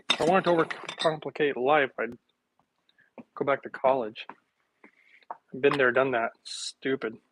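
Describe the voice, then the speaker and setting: A middle-aged man chatting casually with livestream viewers while making a smoothie in his home kitchen, rambling from one thought to the next. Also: a little high, noisy background. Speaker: wellness vlogger.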